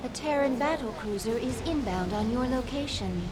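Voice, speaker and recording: A woman plays an obedient alien aide from a video game. Her high voice gives formal, factual reports amid clear background noise. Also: lively intonation, moderate pace, close to an everyday voice. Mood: dutiful, alert. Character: dutiful female alien servant reporting to her queen. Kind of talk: video game cinematic acting.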